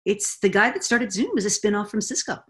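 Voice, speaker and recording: Woman, 60s, middle pitch, lively expert explaining over a webcam. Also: brisk. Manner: animated, confident, explanatory. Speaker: guest expert. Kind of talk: video call roundtable answer. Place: home study with bookshelves. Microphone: webcam on a video call.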